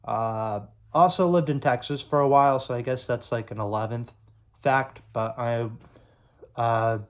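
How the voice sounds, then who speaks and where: A man's voice: mid-range voice, a little slow. A young man from Illinois chatting casually to camera, rambling with lots of fillers and restarts. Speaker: YouTube sports creator.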